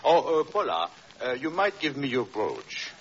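An actor playing a controlling husband in an old radio drama, condescending and insinuating, with false concern and quiet menace. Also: male voice, medium pitch, big pitch swings, a little slow. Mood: smoothly patronizing. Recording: dull, noisy vintage radio recording.

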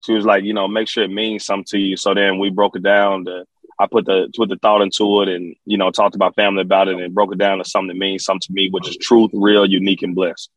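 Male rapper guest, Memphis Southern accent, lowish voice, dry close sound, casual storytelling full of slang and repeated phrases. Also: level tone, quick pace, no room sound, low-quality recording. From Memphis, Tennessee. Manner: repetitive, slang-peppered, frequent "you know".

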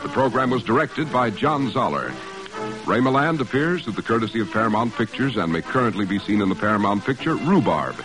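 A man's voice: fairly low pitch, expressive, brisk, rough recording. A man working as a radio announcer, reading scripted narration in a formal, declamatory 1950s style. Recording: vintage radio broadcast, music behind.

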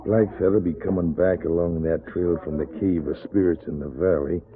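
Guy doing a really deep cowboy villain, cold and bossy, old radio western, muffled lo-fi sound. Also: fairly even intonation, moderate pace, natural voice. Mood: scheming. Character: scheming, cold-blooded cowboy outlaw ringleader. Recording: muffled, lo-fi vintage radio recording.

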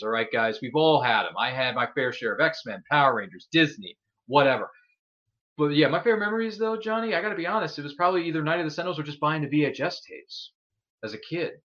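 A man talks fast with lively, swinging pitch as a podcast co-host. He is a chatty, enthusiastic fan who repeats himself for emphasis, and his audio is slightly dull and lo-fi. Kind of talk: casual nostalgic fan chat.

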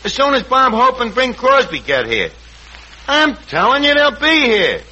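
Brash, animated New York tavern wiseguy. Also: male voice, very high voice, moderate pace, slightly rough, heavily acted. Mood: eager, blustery. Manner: streetwise slang and malapropisms. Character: brash, wisecracking tavern man mangling fancy words. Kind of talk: 1940s radio comedy acting. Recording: noisy, dull 1940s radio recording.

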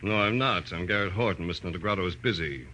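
A man's voice: deep voice, fast, pushed and bright. An actor playing a curt, prickly man in a 1940s radio drama. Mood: curt, testy. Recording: old radio broadcast with noise.

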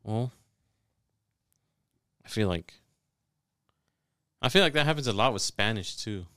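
Low, smooth-voiced casual man. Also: expressive, unhurried, sharp tone, no room sound, studio quality. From Mexican family. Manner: laid-back, curious, asks questions. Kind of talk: casual chat.

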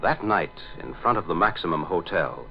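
A man's voice: low voice, animated, moderate pace. A man narrating a scripted radio drama in 1940s style. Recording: dull old radio recording, music.

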